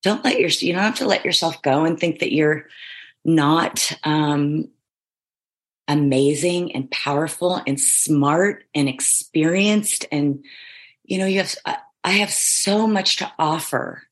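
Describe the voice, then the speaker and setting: A middle-aged woman with a notably deep, husky voice talks through an interview in long, looping sentences. She is emphatic and self-affirming, stacking one thought onto the next. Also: takes it slowly. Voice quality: low, husky voice. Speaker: reality show contestant guest. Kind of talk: casual interview chat.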